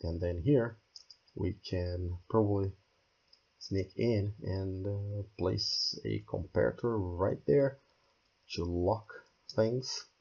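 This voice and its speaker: A hoarse man who is losing his voice, walking through a game build step by step and thinking aloud as he goes. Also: fairly low pitch, slow pace, a little mellow, highs cut off. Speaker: game tutorial creator. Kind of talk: screen-recorded building tutorial.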